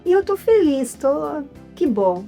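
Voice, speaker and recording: A woman with a Brazilian Portuguese accent, a guest chatting in a relaxed, animated way in an interview. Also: high-pitched, takes it slowly, vocal fry, faint music behind. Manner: animated, chatty, frequent tag questions. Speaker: guest, writer and actress.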